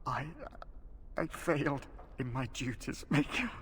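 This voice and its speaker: An actor playing a confused, tormented imprisoned knight in a video game, halting and weepy, with music behind him. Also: male voice, mid-range voice, fairly bright tone, slight background noise. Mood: guilt-ridden. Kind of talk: dramatic video game acting.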